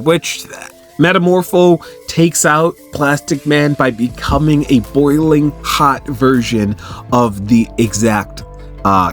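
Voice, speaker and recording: A man hosting a recap in casual commentary, telling the story in an animated, conversational way. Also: mid-range voice. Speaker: host of a comic book recap channel.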